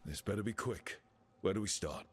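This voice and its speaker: An actor playing a resolute, caring young adventurer who leads a team in a video game, speaking earnestly and directly. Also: male voice, low-pitched, normal speed, slightly rough. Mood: businesslike, determined. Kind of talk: video game cutscene acting.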